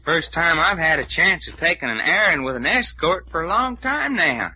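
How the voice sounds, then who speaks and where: An actor playing a folksy, cheeky adventurer with a Southern US drawl in an old-time radio drama. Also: male voice, fairly high pitch, very animated, moderate pace, pushed and bright, full character voice. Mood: teasing. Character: folksy, cheeky male adventurer, unfazed by police. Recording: dull, faintly noisy old radio recording.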